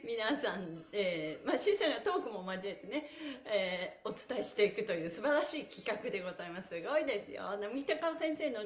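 A middle-aged woman leading a cheerful exercise livestream as an instructor, upbeat and exclamatory with sing-song encouragement. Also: medium pitch. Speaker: stretching instructor and mime performer. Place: small bare indoor studio room, on a mat. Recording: echoey room.

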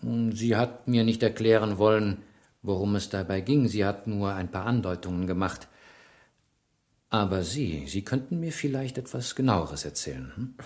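An actor as a shrewd, low-voiced police inspector. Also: male voice, normal speed, darkish tone, natural voice. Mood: probing. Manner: probing, dryly ironic questioning. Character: shrewd, persistent male police inspector, drily ironic. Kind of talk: German radio crime drama acting.

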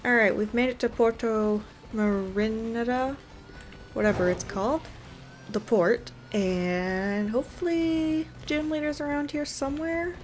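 A woman's voice: on the high side, fairly even intonation, measured pace, soft background music. A woman giving casual, wry commentary as she plays a game, thinking aloud. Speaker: gaming video creator.

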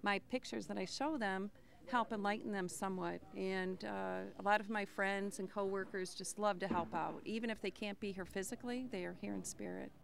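An older woman, a volunteer pharmacist, answers questions outdoors at a rural clinic. Her voice has a gravelly edge, and she speaks plainly with small hesitations. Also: medium pitch, fairly even intonation. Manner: plain, a little halting. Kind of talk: interview answers.

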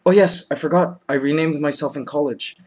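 Man, 30s, high-pitched vlogger, patient and amused. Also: fairly quick, a little mellow. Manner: patient then exasperated, laughing. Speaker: comedy vlogger. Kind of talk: comedy sketch vlog. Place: small bedroom, indoors.

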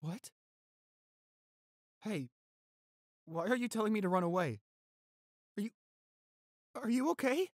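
A young man acting an anxious, devoted boyfriend in a roleplay audio, halting and stammering as he pleads. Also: sits high, lively intonation, dry recording, clean studio sound. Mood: tender, pleading.

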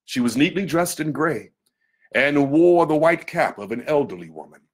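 A man giving a dramatic literary reading in a theatrical, deliberate manner with weighty pauses. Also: fairly high pitch, animated.

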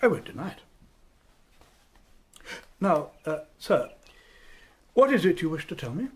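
A man's voice: a little high, very animated, unhurried, husky, full character voice. An actor playing a proud, troubled father and local dignitary in a radio drama, stiff and formal. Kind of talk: BBC radio drama acting.

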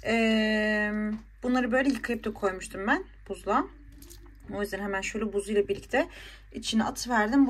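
A woman in her thirties talks casually to her vlog viewers. Her voice sits a little high and she speaks in a chatty, colloquial way. Noticeable everyday noise surrounds the recording. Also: fairly bright tone. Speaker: vlogger. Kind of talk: casual vlog narration while shopping and cooking.

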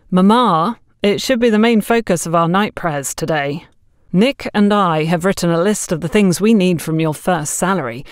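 A woman's voice: mid-range voice, dry recording, natural voice. A cheeky little boy voiced by a woman lectures with mock wisdom in an audio story. The sound is dry. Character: small, mighty boy, mock-wise and cheeky. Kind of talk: audio story character dialogue.

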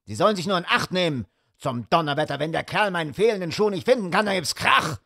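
A man voicing an angry, blunt middle-aged gentleman who speaks in a broad rural dialect. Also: sits high, expressive, quick pace, voice pushed up, exaggerated voice. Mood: furious. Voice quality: rough broad dialect, blustering.

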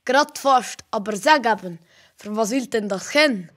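A blunt young village local, acted by a woman, in an amateur radio play. The voice is fairly high and has a Valais Swiss German accent. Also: expressive, moderate pace, fairly bright tone. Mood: blunt, instructing.

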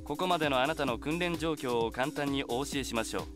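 A man voicing a calm, formal announcer voice that instructs a trainee in a visual novel. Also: medium pitch, very fast, close to an everyday voice. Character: calm male facility announcer voice. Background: game music.

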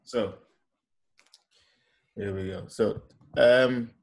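Guy in his 30s doing a chatty interview over video call, lowish voice, polite, bit of umming. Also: steady tone, drawn-out words. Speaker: interviewer, host. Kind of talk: casual interview chat. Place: living room at home, on a video call. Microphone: computer or phone on a video call.

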